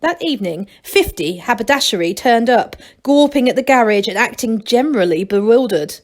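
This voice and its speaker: A woman's voice: on the high side, animated, normal speed. A woman storyteller reading a children's book aloud.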